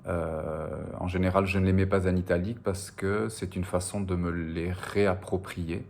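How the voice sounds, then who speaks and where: A man's voice: sits low, steady tone, normal speed, a little mellow. A man from the French Basque border, a native Spanish speaker, answering interview questions about his writing in a hesitant, reflective way with frequent fillers and repeated words. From French Basque Country, on the border. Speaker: novelist being interviewed.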